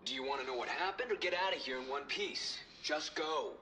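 Gruff, forceful male operative. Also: fairly high pitch, fairly quick, slight background noise, rough recording. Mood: urgent. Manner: clipped, colloquial. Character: gruff, evasive male soldier, fellow covert operative. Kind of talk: video game voice acting.

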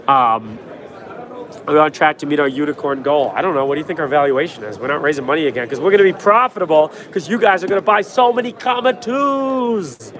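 A young man hosting a casual livestream from a trade show floor, answering chat in a quick, offhand, joking way, his voice worn from a cold. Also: on the high side, lively intonation, fairly bright tone. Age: young adult. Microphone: phone held at arm's length. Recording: noisy crowded convention hall. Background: crowd chatter.

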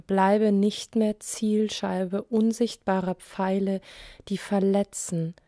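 Female poetry reader: extremely unhurried, warm soft tone, breathy line endings, measured pauses. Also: medium pitch, no room sound, clean studio sound. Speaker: poet. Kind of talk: spoken poetry recitation in short phrases.

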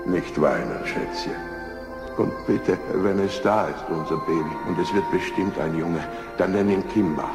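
Deep-voiced, tender lion king. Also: measured pace, gravelly. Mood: tender, weak. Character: noble, tender male lion king and father-to-be. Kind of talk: German children's audio drama. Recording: echoey, loud music behind.

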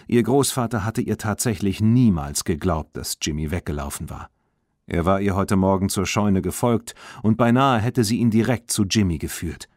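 A man reading a children's audiobook as narrator in an expressive storytelling manner. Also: deep voice, no room sound, studio quality.

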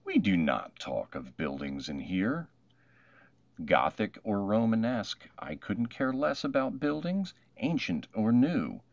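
A man's voice: on the low side, big pitch swings, a little slow, no echo, lo-fi sound. Guy doing a strict lady teacher from a storybook, super dramatic, voice swoops all over. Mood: dismissive. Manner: scolding, theatrical, emphatic. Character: stern, dramatic woman second-grade teacher.

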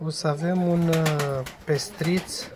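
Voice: medium pitch, fairly even intonation. Hesitant vlogger over noisy aviary sounds. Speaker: pigeon breeder hosting a vlog. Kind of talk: casual show-and-tell vlog narration. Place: pigeon aviary. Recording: noisy, cooing pigeons around. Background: birds cooing, clear ambient noise.

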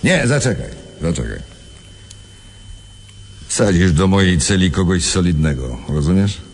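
A man's voice: deep voice, sing-song, unhurried, slightly rough, soft background music, full character voice. An actor playing an imperious, irritable jailed crime boss in a radio drama, commanding and contemptuous as he gives orders. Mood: curt, demanding. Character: imperious, irritable male crime boss serving time in prison.

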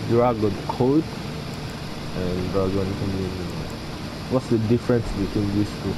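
A young man giving casual gameplay commentary, halting as he muses aloud, close to the mic. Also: lowish voice. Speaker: gaming video host. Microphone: mic placed right in front. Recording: steady background hum and noise.

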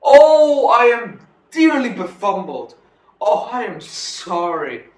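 A man's voice: sits high, sing-song, slow, darkish tone, heavily acted. A man playing a pompous, muddled old turkey clergyman in a theatrical comic monologue, flustered and stumbling over words. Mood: flustered, apologetic. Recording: echoey room, distant mic.

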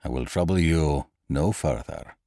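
A man playing a grave, composed doctor who questions firmly in a stern, measured, formal way for a storybook. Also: extremely low pitch, dark tone, dry recording, studio quality.

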